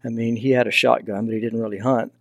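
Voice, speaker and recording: He is an older man from Texas with a Southern accent. His voice is of average pitch, and he talks in a folksy, anecdotal way, like a guest casually telling stories. The sound is dry, with little room around it. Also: level tone, fast talker, creaky, no room sound. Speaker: podcast guest, hunting mentor and foundation founder. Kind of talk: casual interview storytelling.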